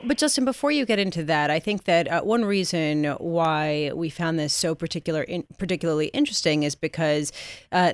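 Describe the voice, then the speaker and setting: A woman hosting a business interview show in a studio, asking deliberate, measured questions. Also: on the low side, smooth, dry recording. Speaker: radio business show host. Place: broadcast studio.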